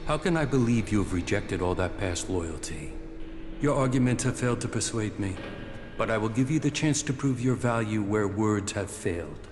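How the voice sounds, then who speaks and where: A man playing a wary, proud rebel soldier in a video game, speaking in a measured, formal and distrustful way. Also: fairly low pitch, animated, background noise, natural voice. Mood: stern, measured. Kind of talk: video game cutscene acting. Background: music.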